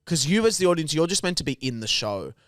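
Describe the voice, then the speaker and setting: A man's voice: on the high side, sing-song, fast talker, a bit bright, no room sound, studio quality. A man with an Australian accent hosting a podcast in casual banter, speaking bluntly with plenty of swearing and crude jokes. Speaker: podcast host.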